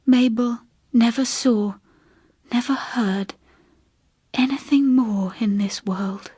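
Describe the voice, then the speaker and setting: Sombre older spinster, played by a female reader, grave and halting audiobook ghost tale, breathy, dry close sound. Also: on the high side, no room sound. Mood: haunted, grieving. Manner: hushed, grave, halting delivery. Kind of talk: dramatic ghost story reading.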